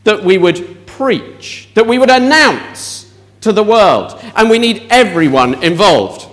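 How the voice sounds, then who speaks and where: A man's voice: high voice, lively intonation, slow pace, a bit bright. A man leading an interactive children's talk in church, asking the audience questions.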